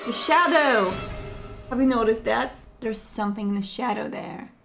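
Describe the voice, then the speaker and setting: A woman's voice: on the high side, normal speed, gravelly, fairly bright tone. A woman giving playful, chatty commentary while playing a video game. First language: Polish. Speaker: gamer. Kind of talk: let's play commentary. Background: game music.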